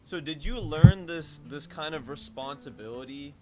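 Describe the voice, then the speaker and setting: A man's voice: fairly high pitch, normal speed, warm tone. Male podcast host over music. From United States. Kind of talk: casual interview questioning.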